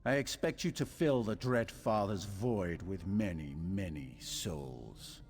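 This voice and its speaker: An actor playing a solemn, commanding leader of an assassin brotherhood in a fantasy video game, with grave, ritual delivery. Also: male voice, deep voice, animated, slow pace, full character voice. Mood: solemn, ceremonial.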